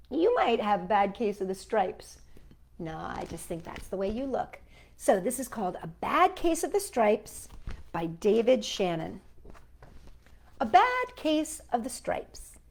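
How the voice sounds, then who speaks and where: A woman with a high, very lively voice hosts a storytime for children. She is playful and chatty, talking straight to her young audience as she introduces books and poems. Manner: animated, chatty, addresses young children directly. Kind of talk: playful children's storytime with reading and sing-along.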